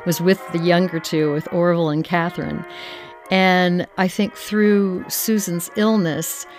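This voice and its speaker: A woman speaking unscripted in an interview, reflective and a little halting as she recalls family history. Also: fairly low pitch. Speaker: interviewee, relative of the family discussed. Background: faint music.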